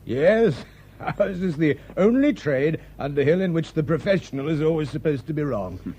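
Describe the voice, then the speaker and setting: An actor playing a chatty, self-assured police detective with a British accent, discursive and opinionated in radio drama dialogue. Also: male voice, fairly high pitch, very animated, normal speed, dark tone, a little hiss or hum.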